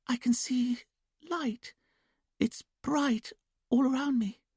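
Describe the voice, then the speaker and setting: A man voicing a young woman in a hypnotic trance, who answers in a quiet, breathy, childlike sing-song. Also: extremely high pitch, fairly even intonation, takes it slowly, no room sound, clean studio sound, voice pushed up, heavily acted. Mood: dreamy, entranced.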